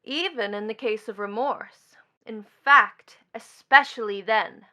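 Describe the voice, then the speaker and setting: A woman's voice: on the high side, measured pace, pushed and bright, highs cut off. A woman playing a bitter, mocking young stepdaughter in a stage drama, with a taunting, theatrical delivery. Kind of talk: theatrical stage play acting.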